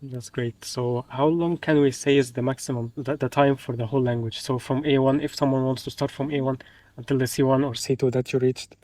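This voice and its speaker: A man's voice: medium pitch, fairly even intonation, brisk, a little mellow, no room sound, recorded from a distance. A man hosting a casual interview, speaking conversationally and checking details as he goes. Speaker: host, interviewer.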